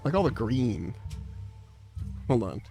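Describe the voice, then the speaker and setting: A man's voice: medium pitch, very animated, slow pace, gravelly, mellow, faint music behind. Chatty man streaming. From Inland Empire, California, USA. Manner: chatty, laughs often, reads chat aloud. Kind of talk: casual live-stream chat.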